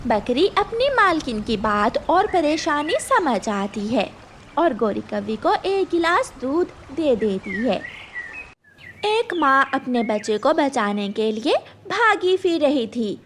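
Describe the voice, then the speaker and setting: Woman narrating a kids cartoon tale, unhurried, lots of up and down, noisy background. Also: high-pitched, big pitch swings, fairly bright tone. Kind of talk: scripted children's story narration.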